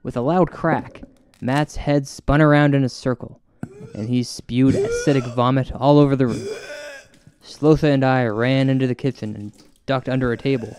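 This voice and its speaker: A man hosting and narrating a scripted comic horror story in a deadpan, mock-solemn way. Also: medium pitch, moderate pace. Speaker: host and storyteller. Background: music.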